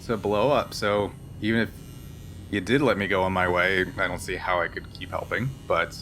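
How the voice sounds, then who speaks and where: A man playing a frank, weary smuggler held prisoner in a tabletop game, speaking in a halting, earnest way. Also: sits low, fairly even intonation, a bit bright, a little hiss or hum, pitched below the performer's own voice. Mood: resigned, earnest.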